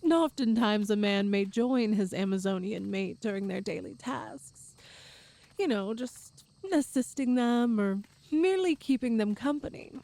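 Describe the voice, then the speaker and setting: A woman playing a tall, strong, cheerful and doting Amazonian woman in an ASMR roleplay, speaking gently in slightly formal phrases. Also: mid-range voice, unhurried, creaky. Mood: reassuring, warm. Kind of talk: ASMR roleplay audio drama.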